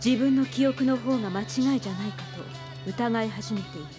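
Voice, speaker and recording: An actress playing a composed female doctor in a radio drama, calm and articulate, probing and gently reassuring. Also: a little high, a little fast, over music, close to an everyday voice. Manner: calm, articulate, philosophical explaining.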